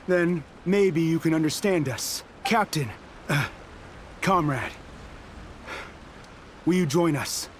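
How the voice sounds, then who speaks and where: A man's voice: fairly high pitch, lively intonation, slow, pauses often, noisy background. A man voicing a stubborn, proud soldier in a video game, defiant and scornful. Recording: game audio with music.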